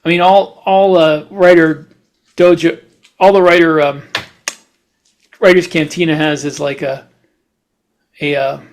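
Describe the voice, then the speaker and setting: A man co-hosting a podcast in casual chat, talking in a halting, rambling way. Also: on the high side, fairly even intonation, slight background noise. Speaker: podcast host; writer.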